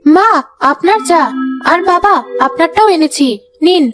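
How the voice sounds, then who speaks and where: A woman's voice: very high voice, measured pace. A woman voicing a sweet, polite young daughter-in-law in a comedy cartoon, innocent in manner. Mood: cheerful, polite. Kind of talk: animated comedy story acting. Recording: loud music behind the voice. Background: music.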